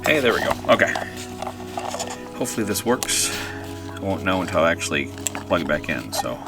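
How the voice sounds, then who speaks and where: Low-voiced man, casual how-to host. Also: sing-song, fairly quick, over loud music. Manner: informal, muttering to himself while working. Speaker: DIY video host. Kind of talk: casual hands-on how-to narration.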